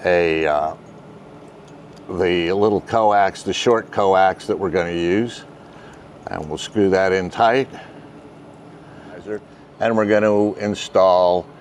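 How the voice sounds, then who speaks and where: Male host, 60s, slow deliberate tutorial delivery, outdoor recording with some background noise. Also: low voice. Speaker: host, ham radio hobbyist. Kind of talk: casual step-by-step tutorial. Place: outdoors, residential backyard and covered patio.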